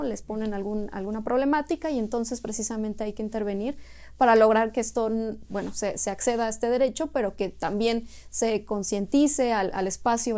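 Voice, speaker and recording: Mellow-voiced woman explaining earnestly. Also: a little high. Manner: earnest, explanatory, frequent tag questions. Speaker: UN refugee agency office head. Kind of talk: interview answers. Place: office.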